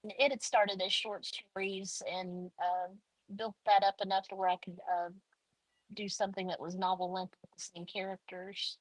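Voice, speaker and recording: A woman's voice: mid-range voice, flat delivery, normal speed, no room sound, rough recording. Hesitant woman author with a dry sound. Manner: hesitant, many fillers and restarts. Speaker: author guest. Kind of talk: casual interview talk.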